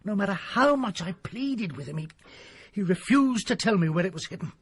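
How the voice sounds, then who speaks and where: An actor playing a haunted scholarly doctor who pleads and flares into rage in a period radio drama. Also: male voice, high voice, expressive. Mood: anxious. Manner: pleading, theatrical, quick to rage.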